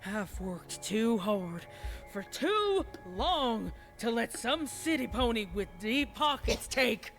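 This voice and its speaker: A woman's voice: on the high side, sing-song, takes it slowly, faint music behind, pitched above the performer's own voice, heavily acted. A woman voicing a deranged, paranoid country farm pony with a rural Southern American accent, rambling and stammering with vengeful menace in a horror story reading. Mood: cold, vengeful. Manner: rambling and stammering, snapping into angry shouts. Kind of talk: dramatic horror fan fiction reading.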